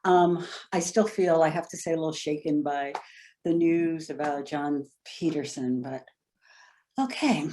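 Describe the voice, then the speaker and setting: A woman's voice: sits low, measured pace, dark tone, slight background noise. A woman from the Bahamas giving an academic lecture over a video call, talking conversationally and thinking aloud with frequent fillers. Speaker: invited lecturer.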